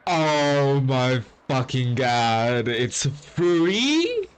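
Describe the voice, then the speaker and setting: A man reacting casually to a video game, swearing bluntly in drawn-out exasperation. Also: medium pitch, very animated, a little hiss or hum. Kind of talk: casual, profane gameplay reaction.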